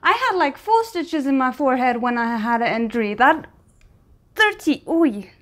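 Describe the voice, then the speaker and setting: Female reaction host, fairly high voice, casual off-the-cuff commentary, thinking aloud. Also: lively intonation, moderate pace, a bit bright.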